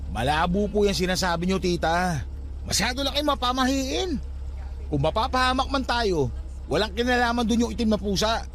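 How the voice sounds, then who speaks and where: An actor playing a stubborn, skeptical thirty-year-old nephew in a Filipino radio drama, blunt and argumentative. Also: male voice, high voice, moderate pace, a bit bright. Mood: dismissive, irritated. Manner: argumentative, dismissive, colloquial.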